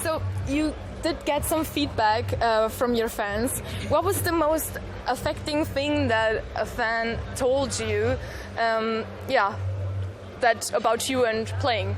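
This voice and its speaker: A woman's voice: on the high side, slow pace, music in the background. A woman with a Romanian accent, a native Romanian speaker, asking casual interview questions. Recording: noisy outdoor urban ambience with traffic.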